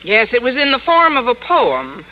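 A woman's voice: fairly high pitch, big pitch swings, normal speed. A woman playing a wry, wisecracking schoolteacher who narrates and chats in a 1950s radio comedy. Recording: noisy muffled old radio broadcast recording.

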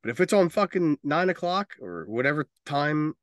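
A man's voice: a little high, very animated, normal speed, no room sound, clean studio sound. A man co-hosting a podcast in casual, opinionated discussion, speaking emphatically and swearing freely. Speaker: podcast co-host.

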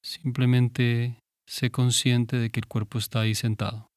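A man guiding a meditation in a calm, gentle, unhurried manner with pauses. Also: mid-range voice, dry recording, clean studio sound. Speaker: meditation guide. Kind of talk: guided meditation.

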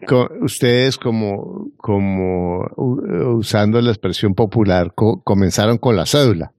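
A man's voice: lowish voice, unhurried, darkish tone, no room sound, clean studio sound. A man hosting an interview, asking probing questions with frequent restarts. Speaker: podcast host.

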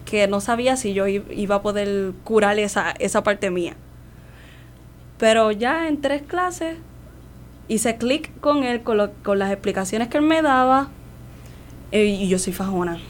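A young adult woman with a Puerto Rican accent, chatting casually as an interview guest and telling her story in an animated way. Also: medium pitch, measured pace, slight background noise. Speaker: guest, classical soprano and voice student.

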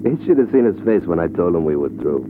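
An actor playing a cocky, callous young prizefighter in an old-time radio drama, talking brash and dismissive. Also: male voice, low voice, sing-song, fast. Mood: mocking. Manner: brash, dismissive tough talk. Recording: tinny, noisy old radio recording.

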